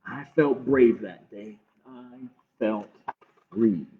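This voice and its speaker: A man reading a picture book aloud to children with measured, expressive pauses. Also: on the low side. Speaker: library storytime reader. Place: livestream from a closed-library online program. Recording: muffled phone-like streaming audio.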